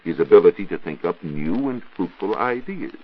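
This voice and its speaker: An American man giving a scripted 1940s radio science talk as a reporter, didactic and rhetorical in measured phrases. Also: deep voice, expressive, husky. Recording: dull 1940s radio recording.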